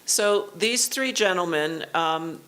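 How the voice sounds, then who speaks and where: A woman giving an academic keynote lecture in a university auditorium, speaking in measured phrases and pausing for an interpreter. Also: on the low side, a bit bright, rough recording.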